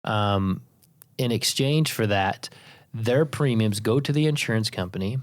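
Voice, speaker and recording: Man, lowish voice, podcast co-host, explains step by step, dry close mic. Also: a little slow, dry recording. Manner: teasing in banter, explains step by step. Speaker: podcast co-host, insurance agency leader. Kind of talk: casual podcast chat.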